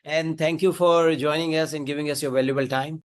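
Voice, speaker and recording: A man's voice: fairly high pitch, steady tone, a little fast. Male podcast host asking set questions. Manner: brief agreeable backchannels, scripted questions. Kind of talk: interview hosting.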